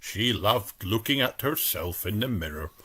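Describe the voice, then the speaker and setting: A man's voice: fairly low pitch, animated, a little slow. A man voicing a good-natured pig father telling a bedtime story, with music playing behind. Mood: gentle. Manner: gentle, storytelling.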